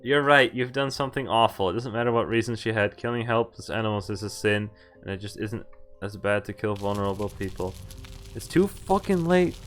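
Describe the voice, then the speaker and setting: A man's voice: fairly low pitch, fairly quick, fairly bright tone. A man streaming a game, reading visual novel text aloud and commenting in a casual, sweary way. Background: faint game music.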